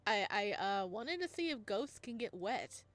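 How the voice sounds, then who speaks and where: Flustered inventor dad from a visual novel, read by a woman; earnest, stammers, lively pitch. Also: measured pace.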